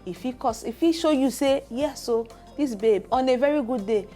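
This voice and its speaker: A woman's voice: high voice, normal speed, dark tone, faint music behind. A woman with a Nigerian accent co-hosting a casual television health talk show, playful and teasing, laughing often. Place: TV studio.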